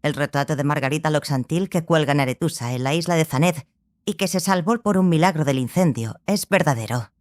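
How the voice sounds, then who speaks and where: An actress playing a serious, scholarly sorceress in an audiobook drama, speaking in a firm, measured, didactic way. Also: female voice, sits low, darkish tone, no echo, clean studio sound, close to an everyday voice. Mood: didactic, regretful.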